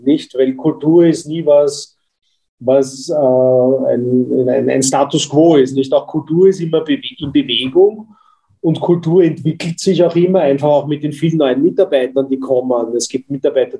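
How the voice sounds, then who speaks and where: A man in his fifties with an Austrian German accent speaks as an executive guest. His warm, darker voice sits at an ordinary pitch, and he talks thoughtfully, pausing often with fillers. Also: rough recording. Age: 50s. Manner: thoughtful, many hesitations and fillers. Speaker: company executive. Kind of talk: interview answers.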